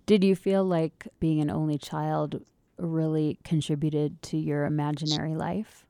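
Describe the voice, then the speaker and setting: A woman hosting a radio interview from a studio, asking questions in a calm, measured way. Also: sits low, creaky, smooth, dry recording. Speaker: radio show host.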